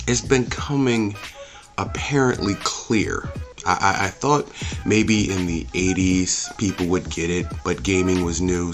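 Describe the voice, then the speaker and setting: A man hosting a review channel, speaking off the cuff in a blunt, emphatic, opinionated rant full of rhetorical questions and swearing. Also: low-pitched. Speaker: host of a movie and game review channel.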